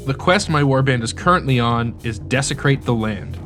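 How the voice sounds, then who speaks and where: A man's voice: mid-range voice, animated, moderate pace, a bit bright. A man presenting a tabletop battle report as host and player. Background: faint music.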